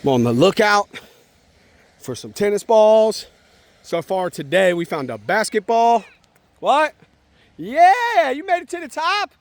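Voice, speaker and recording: A man's voice: high voice, big pitch swings, unhurried, pauses often, dry recording. A man talking casually and encouragingly as a father to a young child, outdoors at a park. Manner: encouraging, informal, addresses child as man and bud. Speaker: father and vlogger.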